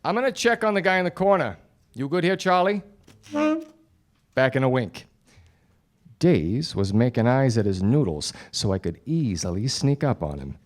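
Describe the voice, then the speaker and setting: Man playing wry, animated 1940s gumshoe detective. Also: mid-range voice, normal speed, long pauses, close to an everyday voice. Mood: wry, probing. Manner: deadpan wisecracks. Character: wry 1940s male gumshoe detective narrating his case. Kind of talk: 1940s radio detective play, narration and dialogue. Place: stage before a studio audience. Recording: live radio play with music behind.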